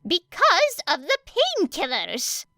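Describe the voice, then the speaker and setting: Elderly female school nurse, high swooping voice, bright and sharp, fussy, exasperated, audiobook character. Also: wildly swooping pitch, slow pace, no room sound, heavily acted. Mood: scolding. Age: older. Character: scowling, scolding old lady school nurse.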